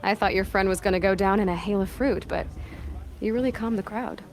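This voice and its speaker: An actress playing a young, independent hunter in a fantasy game, speaking in a direct, questioning way. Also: female voice, mid-range voice, brisk, lightly acted. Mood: matter-of-fact. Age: young adult. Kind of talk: natural dialogue in a fantasy game.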